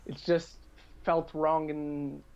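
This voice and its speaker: Casting: male podcast talker, fairly high voice, rambling and self-correcting, casual delivery, slightly muffled lo-fi recording. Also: level tone, slow pace, clean tone. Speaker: podcast speaker. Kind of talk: casual podcast chat about games and films.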